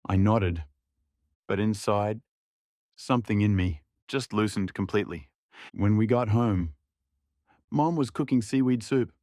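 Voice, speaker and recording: A young man narrating a drama in the first person, in a quiet, reflective way. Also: lowish voice, a little slow, pauses often, dry recording, studio quality.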